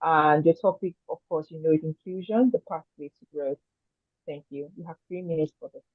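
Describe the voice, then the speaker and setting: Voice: mid-range voice, a little fast, no room sound. A host introducing and encouraging a guest at an online conference over a video call. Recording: dry tinny call audio.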